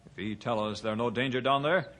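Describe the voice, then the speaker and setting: A solemn, steady guide from an old radio adventure, played by a man. His mid-pitched voice delivers clipped, broken sentences with calm loyalty, over faint noise on an old recording. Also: lightly acted. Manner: slow, solemn, clipped grammar.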